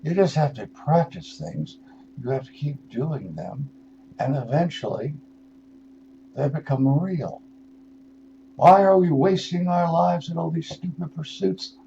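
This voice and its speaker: An older man, in his sixties or beyond, hosting a radio show with a rambling spiritual monologue, musing and halting, then leaning into words for emphasis. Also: fairly high pitch, vocal fry, warm tone. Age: 60s or older. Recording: dull lo-fi radio audio with noise.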